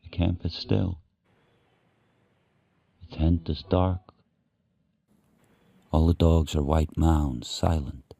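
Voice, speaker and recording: An actor playing a grim, watchful sled dog who narrates his harsh life in spare, deliberate sentences for a radio play. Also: rumbling low, steady tone, creaky, dark tone, dry recording, exaggerated voice. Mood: hushed, bleak. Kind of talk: first-person dramatic narration in a radio play. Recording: faint background noise.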